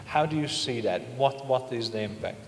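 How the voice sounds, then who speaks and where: Man, middle-aged moderator, halting questions, non-native phrasing, strong echo. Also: mid-range voice, gravelly. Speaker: panel moderator. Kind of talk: unscripted panel questioning. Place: large indoor conference stage. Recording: echoey, reverberant conference hall.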